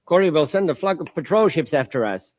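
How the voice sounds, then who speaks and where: A man's voice: on the high side, expressive, brisk, no room sound, natural voice. A man playing a scheming, impatient crook boss in old-time radio serial style, curt and domineering. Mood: urgent. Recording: muffled vintage radio recording.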